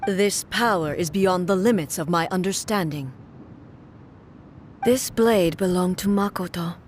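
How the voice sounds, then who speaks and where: An actress playing a solemn, proud immortal warrior ruler in a video game, with formal, grave and measured delivery. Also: female voice, on the low side, a little mellow, a little hiss or hum, natural voice. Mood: reflective.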